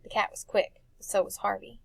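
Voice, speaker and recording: A woman reading a dark fantasy story for children as expressive scripted narration. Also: a little fast, dry recording. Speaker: audiobook narrator.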